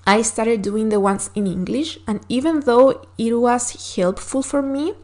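A woman's voice: a little high, unhurried, darkish tone. A woman whose first language is Spanish, hosting a solo podcast and giving earnest, encouraging advice with frequent fillers and restarts. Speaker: podcast host.

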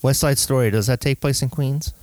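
A man's voice: mid-range voice, steady tone, fairly quick, a little mellow. A man hosting a podcast, chatting casually in a nasal voice and rambling through lists. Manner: nasal, rambling list-making. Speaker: podcast host. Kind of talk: casual chat.

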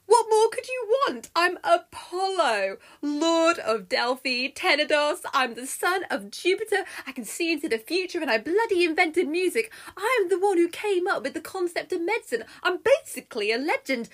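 A woman's voice: very high-pitched, sing-song, moderate pace, few pauses, pitched above the performer's own voice, exaggerated voice. A British woman voicing a vain, needy male god in a comic myth, pompous and pleading. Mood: indignant boasting. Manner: pompous, pleading, loud declarations.

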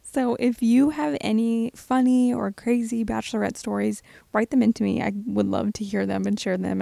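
A young woman hosting a casual advice podcast, her voice hoarse and raspy, chatting and laughing often while lying in bed at home. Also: medium pitch, mellow, no room sound. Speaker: podcast host.

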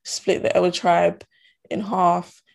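A woman's voice: fairly low pitch, flat delivery, slow pace, gravelly, darkish tone. A young woman from Ghana and Togo talking earnestly in a casual conversation, with many fillers and restarts. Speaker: student guest. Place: diaspora.

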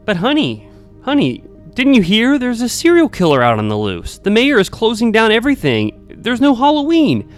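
A worried, doting mother, acted out by a man in a told story. The voice leaps very high and pleads anxiously, with faint music behind it. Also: wildly swooping pitch, normal speed, exaggerated voice. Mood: anxious, pleading. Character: fussy, doting, worried mother of a teenage boy. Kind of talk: storytelling character voice.

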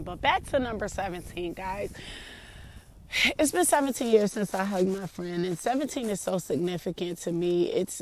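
A woman with a deep voice, reminiscing casually to her viewers in a heartfelt, rambling way. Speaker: vlogger.

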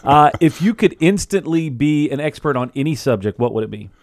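A man's voice: on the high side, lively intonation, fairly quick, no echo, clean studio sound. A man hosting a podcast, asking friendly, curious questions in casual chat. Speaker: podcast host.

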